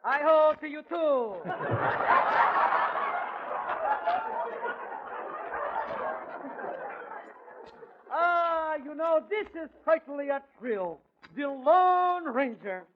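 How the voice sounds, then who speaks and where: A man playing a fussy, excitable theater manager with odd comic phrasing in 1940s radio comedy. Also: very high voice, slow pace, heavily acted. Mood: excited, impressed. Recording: dull restored 1940s radio recording, faint noise.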